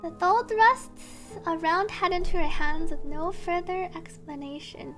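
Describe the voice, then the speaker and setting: A woman's voice: very high-pitched, measured pace, a bit bright. A woman streaming a game, chatting playfully and reading on-screen text aloud in a storytelling way over game music. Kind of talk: live gameplay commentary, reading subtitles and narration aloud. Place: indoor stream setup with game music behind.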